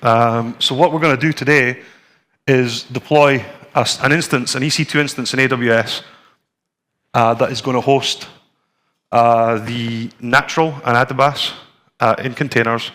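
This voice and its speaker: A Scottish man in his forties presents at a tech conference, talking the room through slides and a live demo. His delivery is relaxed and conversational, with plenty of ums and restarts. He speaks at a middle pitch in a plain, easygoing way. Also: normal speed. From Scotland. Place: conference room with a screen and audience.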